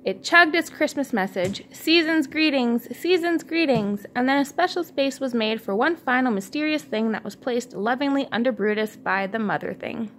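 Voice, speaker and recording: Mom reading a kids book out loud, highish voice, encouraging, little bit of home noise. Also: female voice, animated, moderate pace, hardly any pauses. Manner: encouraging, asks the child questions. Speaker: mother reading to her young son. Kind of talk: storybook read-aloud. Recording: home recording with faint background noise.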